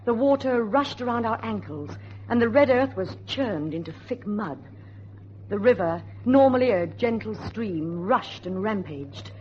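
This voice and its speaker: A woman's voice: medium pitch, expressive, unhurried, a little mellow, natural voice. A woman playing an anxious, determined young niece in a suspenseful radio drama, speaking and narrating in an earnest, literary way. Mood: grave, suspenseful. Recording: muffled old radio recording, faint noise.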